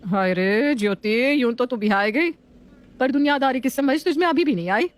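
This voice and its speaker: A woman's voice: sits high, lively intonation, normal speed. A woman playing a meddling older neighbourhood auntie in a family story, with a taunting, drawling manner. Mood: mocking. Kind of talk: scripted family story acting.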